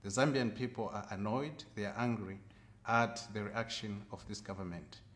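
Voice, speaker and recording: A man speaking for a political party, giving a measured, firm press statement. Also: lowish voice, a bit bright.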